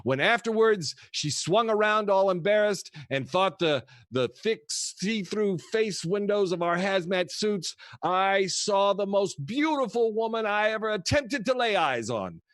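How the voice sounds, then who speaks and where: An actor playing a kooky old grandfather who tells rambling, theatrical, halting stories to his grandchildren in a comedic audio play. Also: sits high, expressive, a bit bright, dry recording, clean studio sound. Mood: wistful. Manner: theatrical, wistful, halting storyteller.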